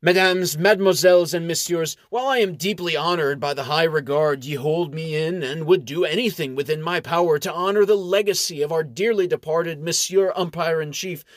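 A man playing a young elf noble from a storybook, loud and manly, with formal, archaic speech held a little high. The sound is dry and close. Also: no room sound, natural voice. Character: young male elf noble, proposing grandly.

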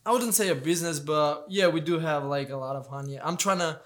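Relaxed young man, halting speech. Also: fairly high pitch. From Albania. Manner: relaxed, hesitant, many fillers and restarts. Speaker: fighter, guest. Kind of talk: casual interview chat.

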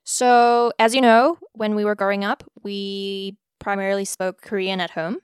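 A woman's voice: mid-range voice, flat delivery, clean tone, no echo, clean studio sound. A young woman from South Africa, a podcast host answering questions in a casual, reflective chat. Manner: measured, reflective, with soft fillers. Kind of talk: casual conversation between sisters.

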